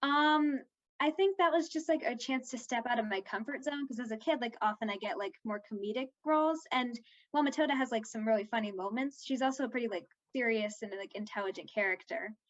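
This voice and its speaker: High-voiced teenage girl, slightly dull recording. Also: fairly quick. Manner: chatty, peppers talk with "like". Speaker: young actress being interviewed. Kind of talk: casual interview chat.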